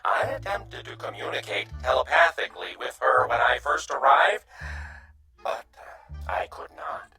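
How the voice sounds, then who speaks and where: An actor playing a gentle, wise alien in a radio drama, speaking in formal, measured phrases. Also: male voice, mid-range voice, lively intonation, creaky, faint music behind, exaggerated voice. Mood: solemn, sincere. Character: gentle, wise alien stranded among humans.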